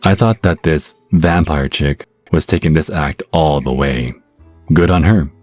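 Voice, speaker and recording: A man plays a laid-back, wry American on a date in an audio drama. His voice is extremely deep and casual. It is recorded dry, with soft music underneath. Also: unhurried, dry recording. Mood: wry, amused. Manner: wry, casual, sometimes flustered. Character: easygoing, sarcastic, smitten American man on a date. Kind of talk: audio roleplay acting with first-person narration.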